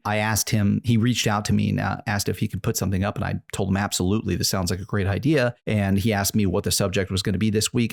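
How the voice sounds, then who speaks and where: Earnest low-voiced man host. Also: gravelly, a little mellow. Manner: earnest, nostalgic, repetitive emphasis. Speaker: video essay host. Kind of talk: reflective commentary to camera.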